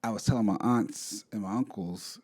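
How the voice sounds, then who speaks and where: A man's voice: fairly low pitch, normal speed, warm tone, husky. Chatty man co-hosting a podcast. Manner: chatty, rambling with restarts. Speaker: podcast co-host. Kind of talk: casual chat.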